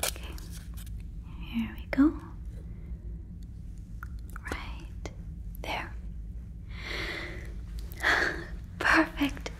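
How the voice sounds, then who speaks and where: A woman's voice: high voice, drawn-out words, vocal fry, long pauses, pushed and bright, exaggerated voice. A woman voicing a friendly, naive female alien in an ASMR roleplay, whispering breathily and very close to the mic with soothing, stilted, formal phrasing. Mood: gently busy, pleased. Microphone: fluffy microphone, very close.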